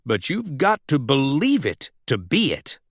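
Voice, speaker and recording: A man's voice: mid-range voice, wildly swooping pitch, measured pace, no room sound, studio quality, exaggerated voice. A man voicing a solemn, encouraging toy leader of a tribe who instructs earnestly, in a children's storybook.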